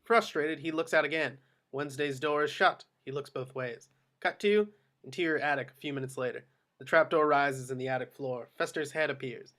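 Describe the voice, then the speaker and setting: A man reads out stage directions for a table read. His voice is on the high side for a man, in a scripted narration style. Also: normal speed, bright, forceful tone.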